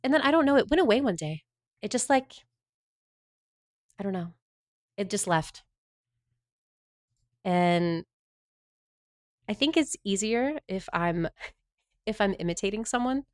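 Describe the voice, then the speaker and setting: A woman's voice: medium pitch, animated, a little slow, pauses often, no echo, clean studio sound. A woman from the Southern United States chatting casually on a livestream, rambling and answering her viewers' chat messages.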